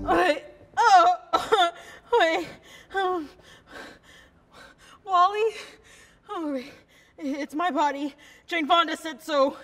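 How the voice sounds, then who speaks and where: A woman's voice: extremely high pitch, fairly bright tone, far from the mic, exaggerated voice. A young woman acting a dramatic monologue as a wry, defiant woman in labour, breathless and pleading. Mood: pained, pleading. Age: young adult. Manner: dramatic, breathless, with sardonic asides. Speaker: student actor. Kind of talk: theatrical monologue performance.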